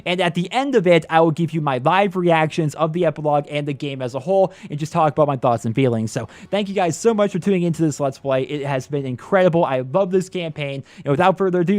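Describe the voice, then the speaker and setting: A man's voice: on the high side, a little mellow, dry recording. A man hosting a let's play, talking casually and with effusive enthusiasm to viewers. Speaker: gaming YouTuber.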